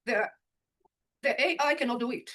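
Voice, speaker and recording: A woman interpreter speaking from the audience, loud and blunt in short emphatic statements. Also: fairly high pitch, normal speed. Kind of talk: audience comment.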